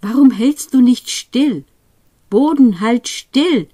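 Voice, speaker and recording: Slow, dramatic woman reciting poetry. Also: a little high, lively intonation. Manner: deliberate phrasing with forceful exclamations and hushed drops. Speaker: poetry reader.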